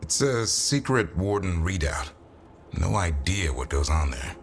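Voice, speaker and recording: An actor playing a gruff, protective warrior bodyguard with a wry, blunt manner in a fantasy video game. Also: male voice, deep voice, very animated, unhurried, faint music behind, full character voice. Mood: grim, businesslike. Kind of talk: dramatic video game dialogue.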